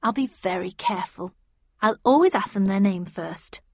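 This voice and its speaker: A woman's voice: medium pitch, moderate pace, no echo, highs cut off, close to an everyday voice. Woman doing a bubbly girlish chatterbox for an audiobook, vain n gushy, kinda childish, dry recording. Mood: breezy, insistent. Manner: gushing, jabbering, childish.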